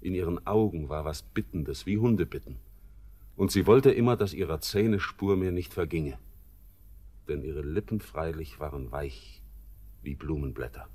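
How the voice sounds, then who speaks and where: An actor playing a bitter, brooding young sailor back home, in a classic radio play. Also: male voice, low-pitched, darkish tone. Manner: slow, somber, bitter and brooding.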